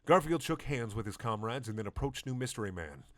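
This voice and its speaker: A young man giving a deadpan comedic reading of a story, often stumbling over the words. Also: mid-range voice, brisk, no room sound. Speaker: reader of a comedic fan story.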